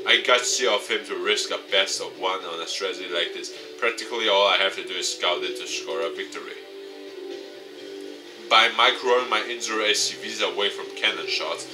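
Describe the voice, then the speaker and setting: A man reading a visual novel aloud as a let's player, with expressive dramatic narration. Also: lowish voice, fairly quick, over music. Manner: expressive, acts out dialogue.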